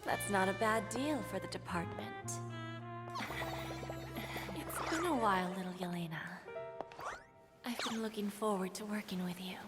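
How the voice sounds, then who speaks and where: A poised senior businesswoman played by an actress speaks in a game cutscene with smooth familiarity. Her voice sits at a medium pitch, composed and coaxing, over prominent music. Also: moderate pace, pauses often, natural voice. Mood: cool approval. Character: poised, ingratiating senior businesswoman greeting an old colleague.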